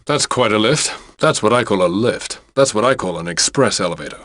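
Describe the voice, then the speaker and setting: A man playing a suave secret agent in a video game, delivering dry, deadpan one-liners. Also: on the low side, a little fast, no echo. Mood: wry.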